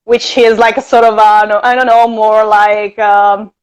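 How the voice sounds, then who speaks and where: A woman's voice: medium pitch, level tone, unhurried, noisy background. A young Italian woman with a light Italian accent, chatting casually as a guest, full of fillers and laughter. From Italy. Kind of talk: casual chat.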